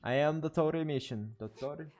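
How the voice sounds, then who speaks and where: A man, a native Portuguese speaker with an accent, chatting casually as a game streamer in halting, repetitive phrases. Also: fairly high pitch, a little mellow, faint music behind. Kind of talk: casual live stream chat.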